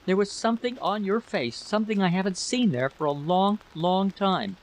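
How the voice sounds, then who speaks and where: A man's voice: high voice, normal speed, pitched above the performer's own voice, close to an everyday voice. A man voicing an anxious, bitter wife in a story reading, pleading emphatically over a noisy recording. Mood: sad, accusing. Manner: pleading, emphatic, repeating questions.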